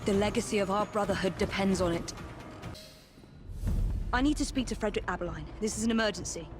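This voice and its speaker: An actress playing a resolute, commanding assassin with a British accent in a video game cutscene. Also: female voice, medium pitch, fairly quick, close to an everyday voice. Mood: grave, resolute. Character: determined middle-aged female assassin hunting a killer. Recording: music behind the voice.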